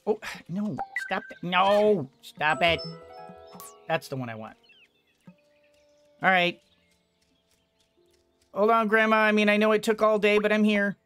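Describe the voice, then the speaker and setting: A man's voice: high-pitched, unhurried, pauses often, fairly bright tone, clean tone. A middle-aged man giving casual gameplay commentary, thinking aloud as he goes. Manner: thinking aloud, muttering to himself. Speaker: let's play host. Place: small indoor home room. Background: game music.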